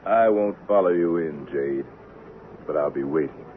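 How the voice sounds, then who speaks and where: A muffled, hissy vintage radio recording of a western avenger. The voice is very low, calm and measured, carrying patient menace without any hurry to raise itself. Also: male voice. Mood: quiet menace. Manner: calm, measured, quietly threatening. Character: menacing, patient, soft-spoken stranger bent on revenge. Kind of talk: old-time radio drama acting.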